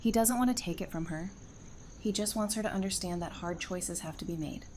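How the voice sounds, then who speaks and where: A woman's voice: fairly low pitch, fairly quick, darkish tone, slight background noise, close to an everyday voice. A woman playing a frank, caring female character in an audio drama, speaking directly and informally. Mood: concerned, pleading.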